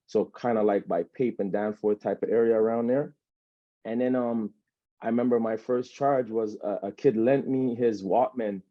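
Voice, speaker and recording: A man's voice: mid-range voice, fairly even intonation, normal speed, dry recording. A man in his thirties with a Jamaican accent, telling his own story as an interview guest in a loose, rambling way, often checking in with "you know what I'm saying". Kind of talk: personal account in a sit-down interview. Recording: dull lo-fi sound.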